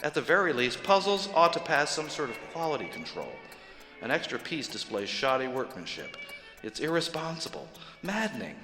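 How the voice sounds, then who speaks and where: A man voicing a fussy, precise accountant who narrates in a measured, dry way. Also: a little high, very animated. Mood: indignant. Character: fussy, precise middle-aged male accountant, rigid and easily rattled. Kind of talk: radio drama narration. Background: soft music.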